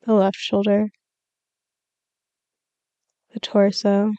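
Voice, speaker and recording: A woman leading a scripted guided meditation as a yoga nidra teacher, giving calm, soothing, measured instructions with pauses. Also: mid-range voice, clear, resonant tone, no room sound, muffled recording.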